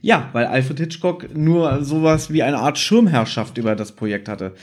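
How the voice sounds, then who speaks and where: A man's voice: mid-range voice, lively intonation, normal speed. Man chatting as a podcast host. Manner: discursive, adds asides and tag questions. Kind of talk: casual podcast chat, explaining.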